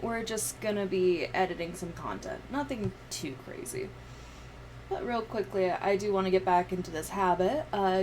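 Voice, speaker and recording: A woman's voice: medium pitch, a little mellow, poor audio. A woman hosting a livestream, chatting casually and informally to her viewers. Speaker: livestream host. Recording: clear background noise.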